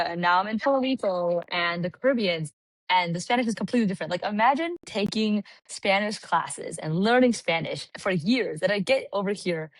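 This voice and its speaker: Animated california woman chatting on a podcast, lots of "like", dry sound. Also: mid-range voice, no room sound. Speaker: podcast guest. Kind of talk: casual chat. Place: Puerto Rico.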